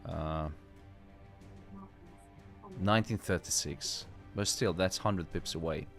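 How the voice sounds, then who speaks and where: A man with a very low, deep voice talks loosely through a live trading session, dropping swear words and slipping into long explanations. Faint music plays behind him. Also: slow, a bit bright. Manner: loose and chatty, swears freely; explains at length, teacher-like. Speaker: host of a live trading stream. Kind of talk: casual live market commentary.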